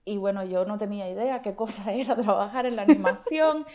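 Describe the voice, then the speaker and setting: A woman's voice: medium pitch, brisk, darkish tone. A woman from Cuba with a Cuban accent, chatting casually as an interview guest and telling personal stories.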